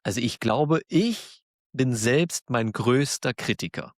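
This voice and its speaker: A man with a German accent, hosting a podcast for learners, talking slowly and clearly in a warm, encouraging way and laughing now and then. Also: mid-range voice, expressive, no echo, studio quality. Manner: encouraging, self-deprecating, laughs often. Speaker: German teacher, podcast host. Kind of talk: slow, clear monologue for learners.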